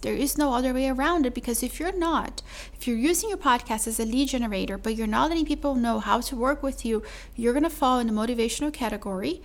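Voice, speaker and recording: Chatty woman with a higher voice. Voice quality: mellow voice. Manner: chatty, calls listener "my love", says "like" often. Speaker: podcast host. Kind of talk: solo podcast sales pitch.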